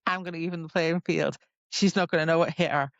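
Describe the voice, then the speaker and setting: A woman's voice: low voice, steady tone, fast, no echo, highs cut off. A woman co-hosting a podcast in casual book chat, informal and exclamatory, often quoting reactions. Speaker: podcast co-host.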